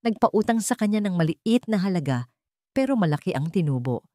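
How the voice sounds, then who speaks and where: Casting: obedient scarred henchman woman performer, low, factual, deferential, storybook crime drama. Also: big pitch swings, a little fast, warm tone, no room sound. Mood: reporting, deferential. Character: scar-faced male henchman, clipped and obedient.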